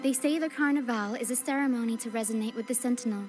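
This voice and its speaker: A thoughtful young adventurer heroine from a video game, acted by a woman, speaks in a measured, earnest manner. Her voice is fairly high, with light music underneath. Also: close to an everyday voice. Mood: resolute, earnest.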